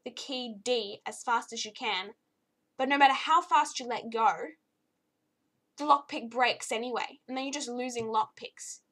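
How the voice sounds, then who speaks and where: Slightly croaky, chatty young woman reviewer. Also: a little high, moderate pace, a bit bright. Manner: chatty and easily exasperated, with frequent fillers. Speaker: game reviewer. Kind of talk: casual spoken game review.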